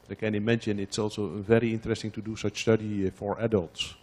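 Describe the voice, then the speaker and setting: Low-voiced man asking, full of ums. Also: level tone, fast, darkish tone. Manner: hesitant with many fillers. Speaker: audience member asking a question. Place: large lecture hall.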